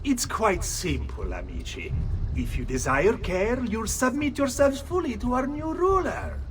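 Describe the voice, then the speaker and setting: A man voicing a power-drunk healer villain in a video game, speaking in a deliberate, theatrical way. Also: high voice, sing-song, a bit bright, a little hiss or hum, full character voice. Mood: smug. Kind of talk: dramatic video game acting.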